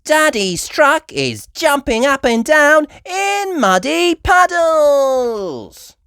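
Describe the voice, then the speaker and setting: A woman voicing an excitable, cheerful little girl pig in a children's storybook, exclaiming with drawn-out words. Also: high-pitched, dry recording, clean studio sound, pitched above the performer's own voice, exaggerated voice. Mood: excited, cheerful.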